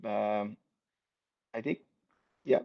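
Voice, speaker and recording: A man's voice: on the low side, steady tone, drawn-out words, vocal fry. A man from Brazil, a small business owner introducing himself on a video call, hesitant and full of fillers. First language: Portuguese. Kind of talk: casual video call chat. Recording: dull lo-fi video call audio.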